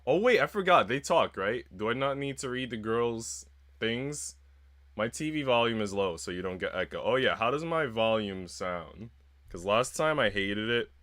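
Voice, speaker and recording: A man's voice: medium pitch, animated, moderate pace, a bit bright, dry recording. A man livestreaming a game, chatting casually and informally with plenty of slang. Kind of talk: casual livestream chat, reading game narration aloud.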